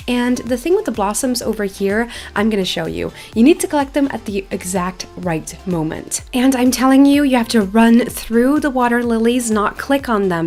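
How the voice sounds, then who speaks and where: High-pitched, chatty woman narrating a game. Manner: chatty, enthusiastic. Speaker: host of a gaming channel. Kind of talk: excited game playthrough narration with casual chat.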